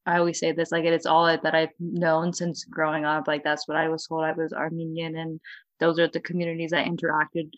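A woman's voice: low voice, completely flat delivery, fairly quick, no room sound, lo-fi sound. A young woman from California talking in a casual, earnest way, with a lot of "like" fillers. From California, USA. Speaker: guest. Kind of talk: casual conversation.

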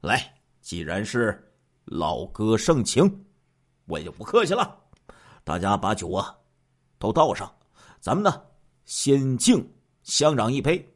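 A man voicing a burdened, emotional male police chief in a story, with emphatic, drawn-out delivery. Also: fairly low pitch, big pitch swings, pitched below the performer's own voice. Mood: jovial.

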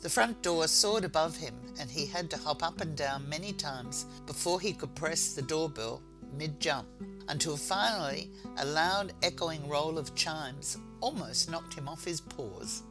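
Storybook narrator, mid-pitched voice, with clear background music. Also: a little slow. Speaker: author reading own short story.

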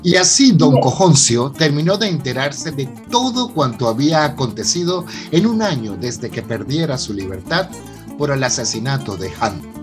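A man's voice: mid-range voice, sing-song, normal speed, over music. A man giving dramatic scripted narration for a radio soap opera.

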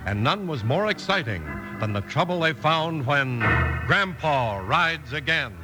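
A man's voice: fairly high pitch, animated, measured pace, low-quality recording. An American man announcing a vintage radio adventure show in scripted, declamatory fashion. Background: music.

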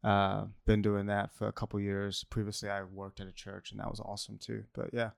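A man in his thirties from England, a guest chatting casually and telling his story in an upbeat, relaxed way. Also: on the low side, fairly quick, creaky, smooth, no echo, studio quality. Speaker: podcast guest. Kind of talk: casual chat.

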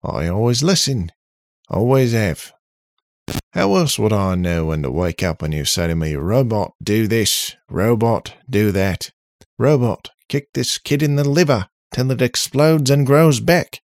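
A man's voice: lowish voice, huge pitch swings, slow, dry recording, clean studio sound, voice pushed up, full character voice. A man voicing a cheeky, needling robot in a story, with a theatrical, teasing manner and shifting put-on accents. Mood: cocky, theatrical. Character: cheeky, shape-shifting, needling male robot with swaggering temper.

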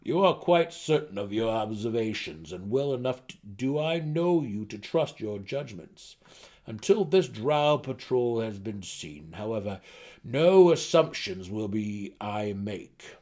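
A man voicing a commanding gnome king in a fantasy audiobook, speaking with measured, deliberate authority to calm an anxious council. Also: fairly low pitch. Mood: measured, insistent.

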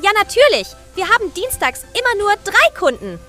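A woman's voice: extremely high pitch, huge pitch swings, normal speed, bright, forceful tone, faint music behind, full character voice. A woman playing an eager, gushing snack-bar waitress in a comic audio drama. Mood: eager, excited.

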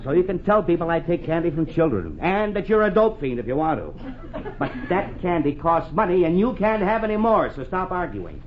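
Middle-aged American father, 1940s radio comedy. Blustery penny-pincher, very animated pitch, dull vintage sound. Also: normal speed. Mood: stern. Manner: blustery, emphatic scolding. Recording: muffled, noisy 1940s radio recording.

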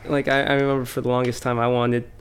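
A man's voice: medium pitch, completely flat delivery, fast, a little hiss or hum. A man co-hosting a podcast in casual chat, easygoing and reflective. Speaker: podcast co-host.

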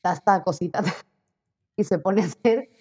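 A Mexican woman in her late twenties chatting as an interview guest, animated and quick to laugh. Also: lowish voice, breathy edge. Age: late 20s. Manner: animated, laughing, quoting herself in lively bursts. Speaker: interview guest, content creator. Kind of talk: casual conversation. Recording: dull audio with long reverb.

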